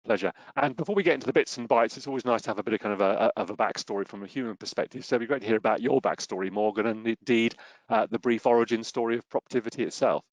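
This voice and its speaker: A man's voice: medium pitch, racing, no echo, rough recording. A man hosting an interview series, giving polished, formal introductions and questions. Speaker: host.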